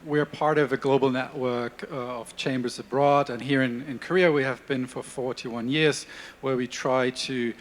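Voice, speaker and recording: A man's voice: mid-range voice, fairly even intonation, moderate pace. A man speaking formally as a panelist for a business chamber. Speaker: panelist, chamber of commerce official. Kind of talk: conference panel answer. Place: conference stage.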